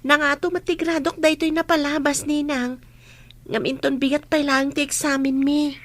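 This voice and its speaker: A woman's voice: sits high, flat delivery, normal speed, lightly acted. A woman playing a dutiful, hopeful young student daughter in a radio drama. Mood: polite.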